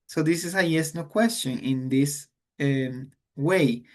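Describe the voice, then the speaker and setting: Guy teaching english grammar, slow, switches to to explain. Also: fairly high pitch. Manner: slow, explains in English then repeats in Spanish. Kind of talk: online grammar lesson.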